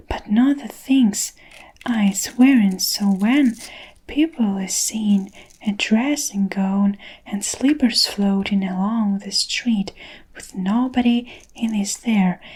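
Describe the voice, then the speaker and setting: A woman's voice: medium pitch, slightly rough, low-quality recording. Woman whispering a storybook. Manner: soft unvoiced whisper throughout.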